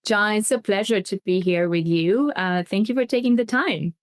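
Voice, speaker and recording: A woman's voice: mid-range voice, normal speed. A woman from Albania, a foundation investment head, answering interview questions thoughtfully with frequent ums. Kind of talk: interview guest.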